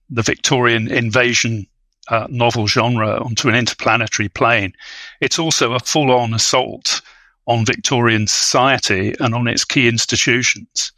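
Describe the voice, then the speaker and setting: A male academic talks as an interview guest in a bright, crisp-edged voice of medium pitch. He builds erudite sentences haltingly, doubling back on words. The sound is very dry, with no room around it. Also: no echo, rough recording. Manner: hesitant, halting, erudite phrasing with repeated words. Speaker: academic guest, literature scholar. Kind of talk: scholarly interview discussion.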